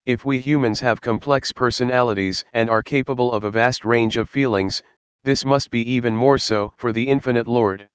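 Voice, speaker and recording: Man, Bible study narration, measured and earnest, close dry recording. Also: medium pitch, fairly even intonation, clear, resonant tone, no room sound. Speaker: Bible teacher. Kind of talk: scripted Bible study reading.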